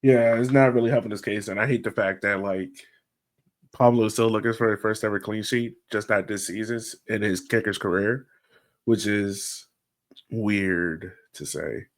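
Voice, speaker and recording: A man's voice: fairly low pitch, fairly even intonation, moderate pace. A man hosting a sports podcast, talking in an informal, chatty, conversational way. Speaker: podcast host. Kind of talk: casual sports podcast chat.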